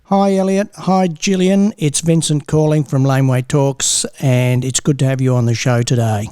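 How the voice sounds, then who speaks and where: A man's voice: mid-range voice, fairly even intonation, normal speed, warm tone, smooth, no room sound, clean studio sound. A man hosting a podcast, chatting casually and encouraging his guests. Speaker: podcast host. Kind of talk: casual interview.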